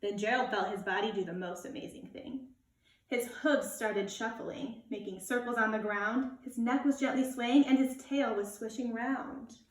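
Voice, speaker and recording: A woman reading a children's storybook aloud like a teacher, in an expressive, animated way. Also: fairly high pitch, moderate pace. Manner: animated, acts out character lines. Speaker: elementary school teacher reading to pupils. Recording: roomy echo, distant microphone.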